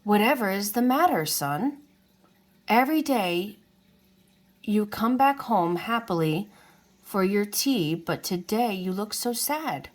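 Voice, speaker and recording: A woman's voice: medium pitch, expressive, slow. A woman voicing a caring mother dragon in a children's storybook, motherly and coaxing. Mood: concerned. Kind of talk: children's storybook character reading.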